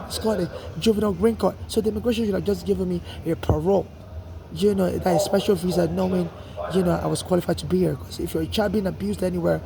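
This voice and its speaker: A man's voice: high voice, gravelly. A man from Nigeria with a Nigerian accent, telling his own story in a rambling way with many restarts and repeated "you know". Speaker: interviewee. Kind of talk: street interview, personal account.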